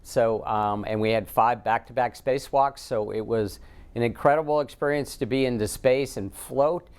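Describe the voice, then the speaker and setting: Smooth-voiced American man, halting interviewee. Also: medium pitch. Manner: halting, frequent ums while thinking. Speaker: astronaut, interview guest. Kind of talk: unscripted interview answers.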